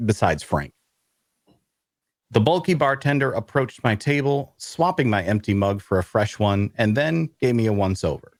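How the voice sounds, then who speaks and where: An actor playing an awkward, bookish loner adventurer who narrates an audio drama in a wry way. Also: male voice, on the low side, dry recording. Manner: wry, hesitant in conversation. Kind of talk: first-person narration and dialogue in audio drama.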